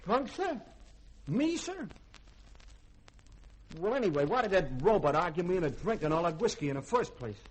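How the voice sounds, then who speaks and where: An actor playing a cheeky, hard-drinking spaceship cook in a 1950s radio drama, folksy and wheedling, with a raspy voice. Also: male voice, high voice, very animated, normal speed, pauses often, poor audio. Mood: wheedling, indignant.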